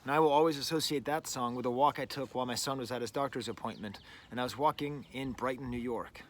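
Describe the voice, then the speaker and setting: A middle-aged man talks through an album in a loose, rambling way, circling back with "like" and "you know." His voice sits at an ordinary middle pitch. He is outdoors, with faint background noise behind him. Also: steady tone, fast. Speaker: music reviewer, show host. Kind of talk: casual spoken album review. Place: outdoors, birds nearby.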